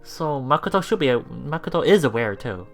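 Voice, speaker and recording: A woman casually reading and reacting to a visual novel as a let's play streamer, giggly, excitable and expressive. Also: rumbling low, brisk, soft background music.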